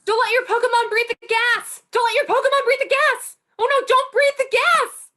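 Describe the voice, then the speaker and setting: A very high, piercing voice from a woman acting as a frantic young female reporter. She cries out urgent warnings in a bright, forceful tone, in an amateur film table read. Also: steady tone, normal speed. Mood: panicked. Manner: shrieking, urgent.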